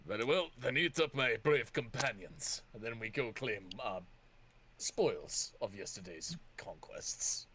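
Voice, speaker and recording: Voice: medium pitch, lively intonation, unhurried, fairly bright tone, slight background noise. A man playing a proud, theatrical fantasy adventurer with a grandiose, heroic way of talking. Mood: commanding.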